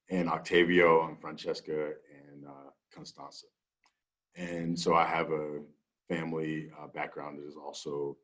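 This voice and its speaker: A man speaking as a minister, reflective and deliberate, with halting phrasing. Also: extremely low pitch, fairly bright tone. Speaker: minister, guest. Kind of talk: reflective talk and spoken prayer.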